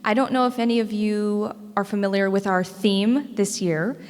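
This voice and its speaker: Teen female student speaker, American accent, mid-pitched, presenting formally to a meeting in a reverberant hall. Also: steady tone, normal speed, darkish tone. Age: teens to young adult, high school student. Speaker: parade court student speaker. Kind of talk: prepared public speech. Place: indoor school meeting room. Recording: echoey hall with long reverb.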